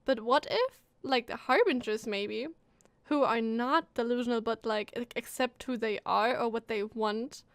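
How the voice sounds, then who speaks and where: A woman giving casual live commentary as she reacts to a game story, expressive and musing aloud. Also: on the high side, moderate pace, clear, resonant tone, no echo. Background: game music.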